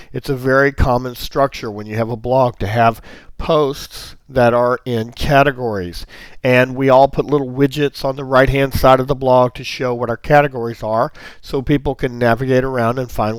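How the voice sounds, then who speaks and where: A man's voice: mid-range voice, no echo. A man with a touch of laryngitis, walking viewers through his website in a friendly, step-by-step screen-recorded tutorial. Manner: friendly, explanatory, stepwise. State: slight laryngitis. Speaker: online marketing teacher.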